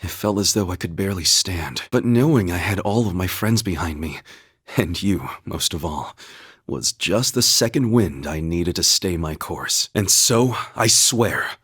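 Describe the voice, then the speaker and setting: An actor playing an earnest, steadfast young warrior-knight in a video game, speaking in a solemn, measured, formal manner. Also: male voice, low voice, animated, a bit bright, slightly rough, no room sound, studio quality, full character voice. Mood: heartfelt, resolute. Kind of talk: dramatic English video game voice acting.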